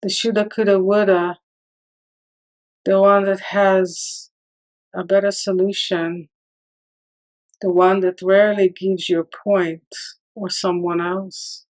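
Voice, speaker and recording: A woman leading a guided meditation in a calm, soothing manner, leaving long pauses between short phrases. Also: mid-range voice, rough recording.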